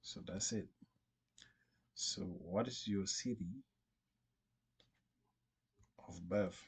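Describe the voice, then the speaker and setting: Deep-voiced man giving slow, halting instructions. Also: vocal fry, slightly rough. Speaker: tutorial presenter. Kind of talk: step-by-step screen tutorial narration, unscripted.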